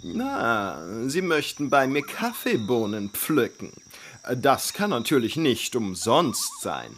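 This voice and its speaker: Very slow, haughty plantation owner. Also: male voice, mid-range voice, big pitch swings, fairly bright tone, slight background noise, heavily acted. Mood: haughty, leisurely. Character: demanding, self-satisfied plantation owner. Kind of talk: fairy-tale audio drama acting.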